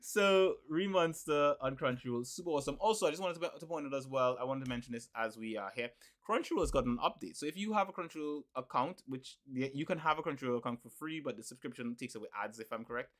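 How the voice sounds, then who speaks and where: A man's voice: medium pitch, quick pace, hardly any pauses, a bit bright. Rambling man chatting on a podcast. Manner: rambling, repeats himself, laughs often. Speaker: podcast co-host. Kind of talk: casual podcast chat.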